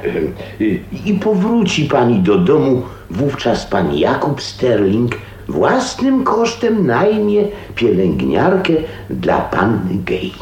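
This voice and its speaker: Slow, halting, moralising pastor. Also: male voice, mid-range voice, wildly swooping pitch, darkish tone, full character voice. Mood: formal, halting. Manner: formal, halting, preachy. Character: stiff, earnest, moralising male pastor. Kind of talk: Polish radio drama acting. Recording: roomy echo, faint noise, radio drama.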